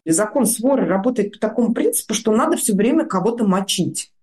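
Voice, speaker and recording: A woman journalist commenting bluntly, a little halting at times. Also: mid-range voice, expressive, a little mellow. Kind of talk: casual commentary.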